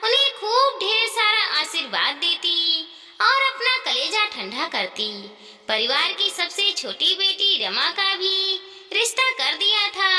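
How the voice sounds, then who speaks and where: A woman narrating a scripted moral story in a measured, dramatic storytelling manner with drawn-out phrases. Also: extremely high pitch, sharp tone. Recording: distant voice with long hall reverb.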